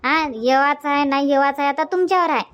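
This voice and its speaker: Casting: woman to play cartoon village auntie, high-pitched, bossy and talkative, Varhadi Marathi accent. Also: a little fast, a bit bright. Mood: explaining. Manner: chatty, insistent, repeats herself. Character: bossy, sociable village auntie organizing her friends. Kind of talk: cartoon comedy dubbing.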